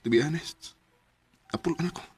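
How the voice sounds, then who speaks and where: An actor with a Cebuano Filipino accent playing an earnest, apologetic young boyfriend in a radio drama, speaking hesitantly. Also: male voice, a little high, moderate pace, darkish tone, breathy edge. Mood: conflicted, sorrowful. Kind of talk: Bisaya radio drama acting.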